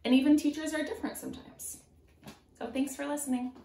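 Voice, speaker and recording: A woman in her twenties reads a picture book aloud as a preschool teacher, pausing to put simple, encouraging questions to young children. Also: high-pitched, fairly even intonation, creaky, mellow. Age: 20s. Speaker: preschool teacher reading a storybook. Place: small room at home, indoors. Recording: roomy indoor echo.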